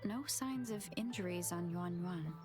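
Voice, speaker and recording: An actress playing a wary, observant young adventurer in a video game, musing to herself in a measured, thoughtful way. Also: female voice, on the low side, natural voice. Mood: puzzled, analytical. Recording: music behind the voice.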